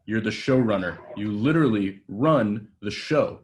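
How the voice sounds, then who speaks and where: A volatile, washed-up young TV star, voiced by a man at a table read swears and rants with bitter contempt. His voice sits low, and his tirades run fast and loose. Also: animated, lightly acted. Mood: angry. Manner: profane, ranting, bitter. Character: washed-up hotshot young male TV actor, volatile.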